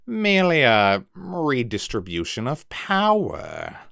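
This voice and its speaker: A man voicing a scheming male villain who stays icily calm and hisses his threats. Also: medium pitch, takes it slowly, creaky, no room sound, studio quality, pitched above the performer's own voice, full character voice. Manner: icily calm, hissing threats, then screaming denials. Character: sly cold male villain, spectacled mutineer.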